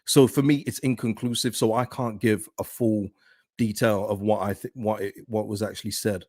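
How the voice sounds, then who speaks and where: A man with a deep, soothing voice hosting a football fan channel, chatting in a casual, rambling way as he talks with viewers. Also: fairly even intonation, normal speed, darkish tone, no echo, clean studio sound. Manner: conversational, rambling. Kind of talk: casual livestream chat, reading and answering viewer comments.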